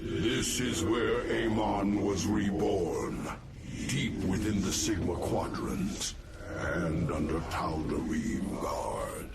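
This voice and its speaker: A man voicing a solemn, portentous alien warrior elder in a video game, speaking formally and gravely. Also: low-pitched, slow, heavy background noise. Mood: grave, urgent. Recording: loud game music behind.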